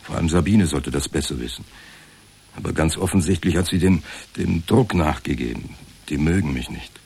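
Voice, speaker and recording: An anxious, beaten-down office clerk in a radio play. The voice is extremely low and rough-edged, and he picks his way hesitantly through self-pitying sentences. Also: normal speed, darkish tone, full character voice. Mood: hesitant, aggrieved. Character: insecure, downtrodden male insurance clerk, anxious and hesitant. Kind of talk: naturalistic radio drama acting.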